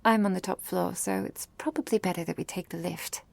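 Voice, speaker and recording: Woman acting a genteel vampire woman, creaky-voiced. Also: on the low side, steady tone, fairly quick, no echo. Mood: tender, apologetic. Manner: genteel, old-fashioned phrasing, often breaking into whispers. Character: affectionate, protective, ancient vampire woman, stubborn and refined. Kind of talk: ASMR girlfriend roleplay, soft intimate acting.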